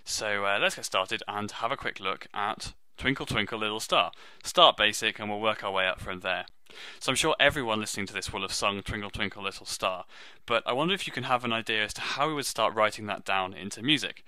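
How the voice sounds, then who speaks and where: A man with a low, deep voice and a strikingly bright, crisp tone teaches music theory. He explains each step in a friendly, modest way. The recording is close and dry, with no room sound. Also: fairly quick, no room sound. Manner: friendly, self-deprecating, steady explaining while drawing. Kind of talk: online music theory lesson.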